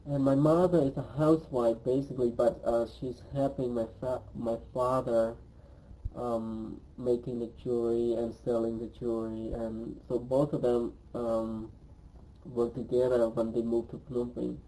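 A man's voice: mid-range voice, little pitch movement, warm tone, poor audio. A man in his twenties from Cambodia, speaking English, recalls his life in an oral history interview and often stops to say um. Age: 20s. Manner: hesitant, pauses often with um.

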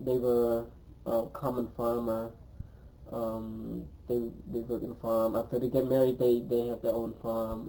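A man's voice: medium pitch, warm tone, low-quality recording. A man in his twenties from Cambodia, speaking English, recalls his life in an oral history interview and often stops to say um. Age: 20s. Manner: hesitant, pauses often with um.

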